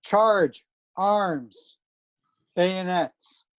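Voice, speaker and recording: A woman with a slightly low voice calls out military drill commands in short, clipped phrases. She leaves long pauses between them, at a very slow pace. The recording is close and dry, though somewhat muffled. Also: no room sound. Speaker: drill caller.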